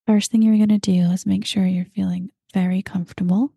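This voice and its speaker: A woman guiding a meditation, talking slowly and calmly and at times dropping into breathy whispers. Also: medium pitch, dry recording, clean studio sound. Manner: slow, soft and calm, drifting into breathy whispers. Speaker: meditation guide. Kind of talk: guided meditation.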